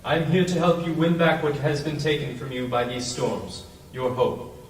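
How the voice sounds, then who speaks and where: A man playing a benevolent high priest giving a deliberate, oratorical speech to a crowd in a fantasy audio drama. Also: steady tone, slight background noise, lightly acted. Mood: uplifting, grand. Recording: echoing, distant, reverberant, outdoor ambience.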